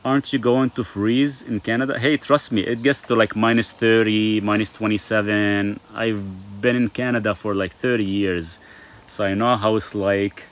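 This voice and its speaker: A man's voice: fairly low pitch, fairly even intonation, normal speed. A middle-aged man from Lebanon hosting a casual live stream, reading viewer comments aloud and answering them in a chatty way, full of fillers.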